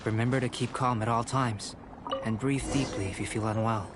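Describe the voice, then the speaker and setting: A man voicing a calm, cerebral young alchemist scholar in a video game, measured, formal and coolly detached. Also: mid-range voice, natural voice. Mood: composed. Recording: music behind the voice.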